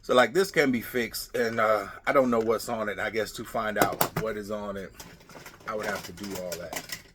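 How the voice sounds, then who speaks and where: Male vlog host, middle-aged, fairly low pitch, off-the-cuff muttered asides, noticeably noisy recording with clatter. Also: steady tone, moderate pace. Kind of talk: casual rambling vlog chat. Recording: clear background noise with clicking, clattering.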